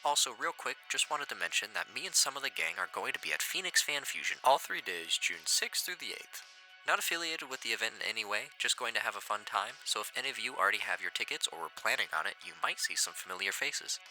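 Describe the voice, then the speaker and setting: A man hosting a video review, narrating scripted commentary in an expressive, conversational presenter's manner. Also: on the low side, fast talker, faint music behind, rough recording. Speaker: YouTube host, horror series reviewer.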